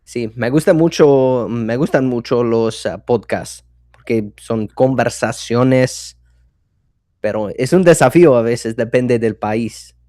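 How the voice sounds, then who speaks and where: A man's voice: mid-range voice, slow pace, warm tone, no room sound, studio quality. A man taking part in a podcast chat as a language learner, asking questions hesitantly while he searches for words.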